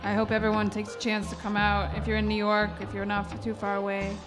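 A woman's voice: mid-range voice, monotone, fast, over music. A woman co-hosting a radio show, asking short encouraging questions. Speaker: radio co-host. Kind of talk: casual radio interview. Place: storefront music bar.

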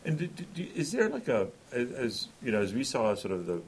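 A man's voice: medium pitch, huge pitch swings, a little fast, slight background noise. A man from Pakistan in a casual academic discussion, asking curious questions that stumble and restart. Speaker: faculty researcher.

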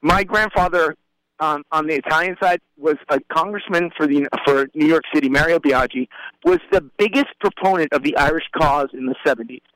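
A man's voice: a little high, fairly quick, fairly bright tone, no room sound, poor audio. A man from Puerto Rico and the Bronx, a journalist guest making emphatic points on a panel discussion. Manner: emphatic, argumentative, uses "like" and "right?".

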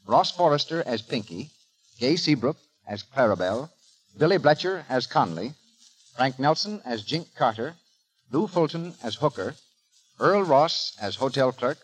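A man's voice: mid-range voice, poor audio. A man making formal, declarative scripted announcements as a 1930s radio announcer. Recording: vintage 1930s radio broadcast recording.

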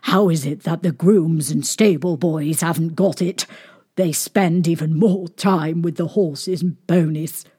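A woman's voice: mid-range voice, fast, hardly any pauses, slightly rough. A woman voicing a despairing, gullible king and sorrowful father from a storybook fairy tale, in a plaintive manner. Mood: bewildered, tearful.

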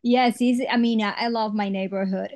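A woman from Ecuador with an Ecuadorian Spanish accent, a Spanish teacher and guest, chatting in an animated way with laughs and restarts. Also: mid-range voice, normal speed. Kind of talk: casual interview talk.

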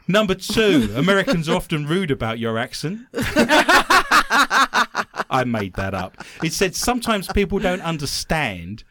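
A man from London with an English accent, hosting a radio talk show in a chatty, animated way and laughing often. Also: a little high, unhurried, no echo, clean studio sound. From London, England.

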